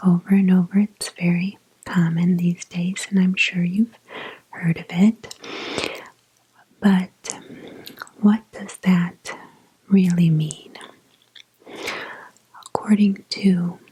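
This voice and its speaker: A woman guiding an ASMR meditation, speaking in a soft, whispery, breathy voice with gentle, deliberate pauses. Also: on the low side, rough recording. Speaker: ASMR guided meditation host.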